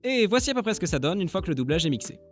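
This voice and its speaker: A man's voice: sits high, very animated, rapid-fire, faint music behind. A man narrating a casual vlog as an amateur dubber, informal and self-deprecating. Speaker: amateur voice dubber and channel creator.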